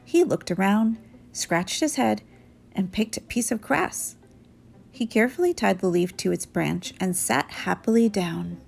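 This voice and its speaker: A woman reading a children's story aloud in an expressive storyteller's style, with music behind her. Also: medium pitch, unhurried, creaky.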